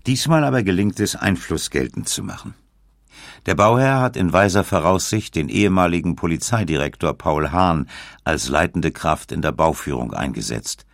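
Very deep, gravelly male narrator. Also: lively intonation, moderate pace, no echo, studio quality. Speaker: documentary narrator. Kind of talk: scripted documentary narration.

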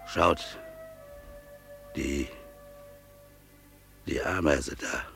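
An actor playing a solemn, rapturous father who preaches about nature and God in a halting, exalted radio play delivery. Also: extremely low pitch, vocal fry, fairly bright tone, soft background music, heavily acted. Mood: marvelling. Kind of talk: declamatory literary radio play monologue.

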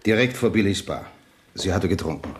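An actor playing a polite, matter-of-fact police sergeant in a radio crime drama, measured and official. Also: male voice, deep voice, lively intonation, slight background noise. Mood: grave, factual.

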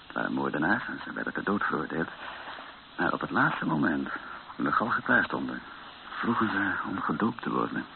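An elderly priest in a radio play, calm and resigned. His voice is deep and gravelly, and he talks slowly and quietly. The recording is dull and narrow. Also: full character voice. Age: 70s. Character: calm, resigned seventy-year-old male priest. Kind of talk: radio drama acting. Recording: muffled lo-fi radio recording with hiss.

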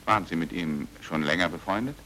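A man's voice: low voice, brisk, bright, forceful tone, slight background noise. An actor playing a dogged, plain-spoken man investigating his friend's death in an old German radio drama, terse and probing. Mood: polite, tentative. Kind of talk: 1950s-60s German radio drama acting. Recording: old radio play with music.

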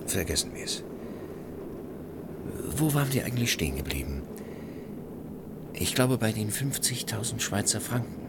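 An actor playing a suave, cynical journalist in a German radio play, talking with ironic, teasing gallantry. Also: male voice, on the low side, sing-song, normal speed, pauses often, very noisy. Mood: skeptical, dry. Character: suave, cynical male journalist chasing money, world-weary adventurer.

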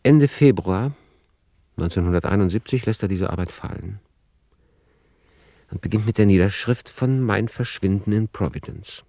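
Low, dry-sounding male narrator. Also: dark tone, dry recording. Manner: formal, matter-of-fact, measured. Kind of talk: scripted documentary-like radio drama narration.

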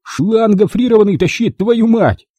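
Man acting a rowdy bellowing tough guy, pitched high. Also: huge pitch swings, brisk, warm tone, husky, dry recording, studio quality, heavily acted. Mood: urgent bellowing. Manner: bellowing, cursing, mocking. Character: gleeful, foul-mouthed brawling tough man.

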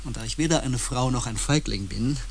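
A man's voice: medium pitch, brisk, slight background noise, lightly acted. Man acting a bitter, cutting outcast. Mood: bitter, defiant. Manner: formal, cutting, defiant. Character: bitter, hostile empath man, a proud outcast. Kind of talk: radio drama dialogue.

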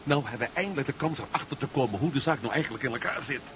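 An actor playing a bold, determined adventurer in a 1970s science fiction radio drama, speaking briskly and urgently. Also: male voice, medium pitch, lively intonation, close to an everyday voice. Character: bold, determined male adventurer in a sci-fi serial. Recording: dull, noisy 1970s radio play recording.

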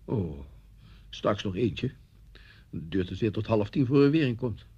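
A husky, nervous, snappish schoolmaster. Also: male voice, fairly low pitch, expressive, unhurried, warm tone, exaggerated voice. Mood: irritable, tense. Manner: agitated, snappish, sarcastic. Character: nervous, irritable schoolmaster under threat. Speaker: actor in a radio play. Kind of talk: Dutch radio play acting. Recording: dull, muffled old radio recording.

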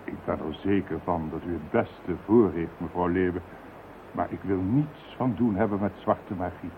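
A man's voice: low voice, normal speed, slight background noise. An actor playing a devout, grieving man who speaks in solemn, preaching tones. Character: devout frightened grieving brother, middle-aged man. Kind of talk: radio play acting. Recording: tinny lo-fi old radio recording.

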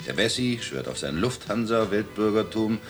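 A cynical, worldly British journalist, played by a man with a very deep voice. He talks in a sardonic, lecturing way. Music is clearly heard beneath him. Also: expressive, normal speed, close to an everyday voice. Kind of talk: 1980s radio play acting. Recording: music underneath.

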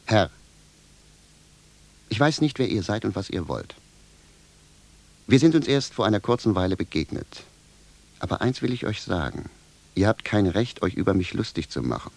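An actor playing a simple, bewildered and stubborn village cobbler in a radio drama, speaking bluntly and with a sense of grievance. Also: male voice, on the low side, lively intonation, moderate pace, gravelly, long pauses, a little mellow. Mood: indignant, stubborn. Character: plain-spoken, bewildered, stubborn village cobbler, grumbling indignantly. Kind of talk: theatrical radio drama dialogue.

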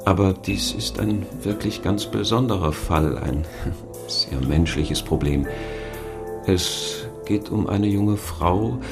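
Deep-voiced, oily, polite shady go-between. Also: male voice, takes it slowly, gravelly, over music, heavily acted. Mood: ingratiating. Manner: overpolite, hesitant, ingratiating. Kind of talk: radio crime drama acting.

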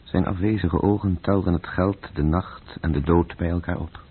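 Detached radio-play narrator, gravel-husky and sombre, over narrow muffled vintage sound. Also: male voice, sits low, fairly even intonation, moderate pace. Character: sombre male storyteller, detached and literary. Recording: muffled, phone-like old radio recording.

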